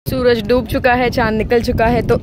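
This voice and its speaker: A woman narrating a casual travel vlog outdoors at night, chatty and full of excited exclamations. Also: a little high, a little fast. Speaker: vlogger. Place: outdoors at a crowded beach at night. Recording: crowd noise behind. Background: crowd chatter.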